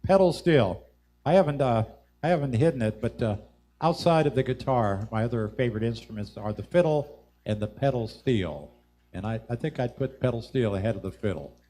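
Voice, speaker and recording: A man's voice: mid-range voice, expressive, moderate pace, mellow. A man hosting a live music show, chatting in an easygoing way with his guests and laughing often. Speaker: host.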